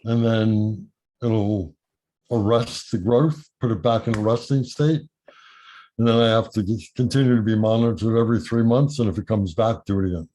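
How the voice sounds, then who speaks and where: A man's voice: lowish voice, fairly even intonation, normal speed, dark tone, poor audio. A man talking frankly in a casual video call, his voice changed by illness. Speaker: meeting participant.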